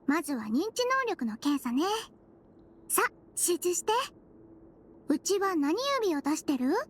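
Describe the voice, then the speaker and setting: Very high, girlish, caring nurse. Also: lively intonation, measured pace. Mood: calm, reassuring. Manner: gentle, reassuring, soft feminine phrasing. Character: small, sweet, caring female head nurse, girlish. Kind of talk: anime game voice acting.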